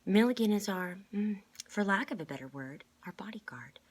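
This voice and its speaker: A woman reads as a gentle young woman in a storybook. Her voice is husky, and she speaks in a measured, reassuring, courteous way. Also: medium pitch, lively intonation, voice pushed up, natural voice.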